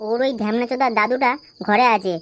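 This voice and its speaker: A man's voice: very high-pitched, brisk, fairly bright tone, slight background noise. A man voicing a cowardly, whiny young sidekick in an amateur comedy cartoon, nervous and complaining.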